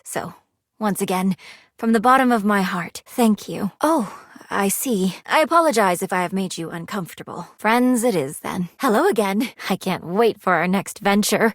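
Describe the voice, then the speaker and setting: Actress as earnest, money-minded young female game character: proper, exact diction, medium pitch, dry recording. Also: no room sound, close to an everyday voice. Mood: tender, smitten, then quietly apologetic, then warm, expectant. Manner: formal, precise wording with few contractions. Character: prim, earnest, thrifty young woman, living money personified, game dating character. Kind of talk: video game character dialogue.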